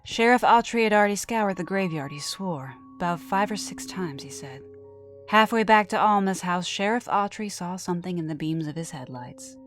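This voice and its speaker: A woman narrating a scripted horror story dramatically, often dropping to a hush at the ends of phrases. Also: on the low side, lively intonation, normal speed. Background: background music.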